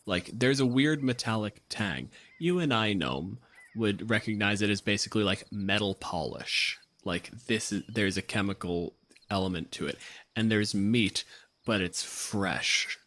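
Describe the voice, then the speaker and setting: A man's voice: fairly low pitch, lively intonation, a little slow, a bit bright. A man working as game master, narrating a tabletop game in a casual, improvised way.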